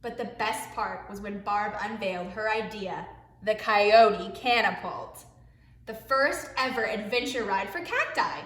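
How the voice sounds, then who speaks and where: A woman, a preschool teacher, reading a picture book aloud to young children in an expressive way, with hushed asides. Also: medium pitch, a little slow. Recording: echoey room.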